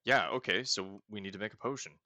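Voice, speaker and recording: A man hosting a casual let's play, reading game text aloud and thinking out loud as he goes. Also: lowish voice, fast talker. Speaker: gaming host.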